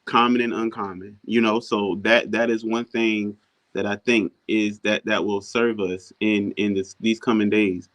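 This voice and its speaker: A man's voice: fairly low pitch, little pitch movement, unhurried, a bit bright. Man co-hosting a casual podcast. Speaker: podcast host. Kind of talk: casual conversational interview.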